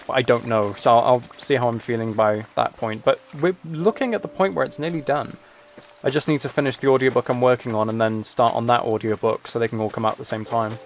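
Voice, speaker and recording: A man's voice: medium pitch, steady tone, a little fast. A man chats casually with viewers as he plays a game, rambling and musing aloud. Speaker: live streamer. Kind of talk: casual chat with viewers while gaming.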